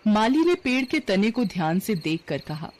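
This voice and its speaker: A woman narrating a scripted story in an expressive storytelling manner. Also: medium pitch, normal speed.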